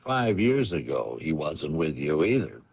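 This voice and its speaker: A dogged police lieutenant in a radio drama. His voice is very low and swings expressively as he puts skeptical, pointed questions. The old radio recording is dull and close. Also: big pitch swings, gravelly, slightly rough, dry recording, exaggerated voice. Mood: probing, skeptical. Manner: pointed questioning with deliberate pauses.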